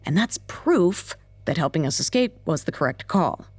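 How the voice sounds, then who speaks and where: A woman's voice: low-pitched, very animated, moderate pace, darkish tone, dry recording, soft background music. A woman playing a determined research leader in an audio drama, curt, insistent and commanding.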